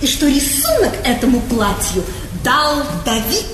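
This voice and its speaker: A vain princess of 1800 in a stage comedy, very high-voiced and gushing. She speaks with affected, theatrical rapture and drops French endearments. The sound rings in a reverberant hall with faint hiss. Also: very animated, unhurried, fairly bright tone, full character voice. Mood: breezy, insistent. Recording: echoey theatre hall, noisy 1980s recording.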